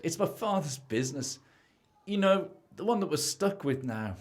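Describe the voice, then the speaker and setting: A man's voice: on the high side, very animated, normal speed. A young British man playing a secretive, stressed husband in debt in an amateur radio play. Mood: gloomy confession. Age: young adult.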